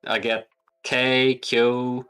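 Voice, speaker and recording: A man's voice: mid-range voice, little pitch movement, very slow, pushed and bright, smooth, faint music behind. Giggly, self-deprecating male guest. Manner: laughs often, self-deprecating and agreeable. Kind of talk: casual interview chat.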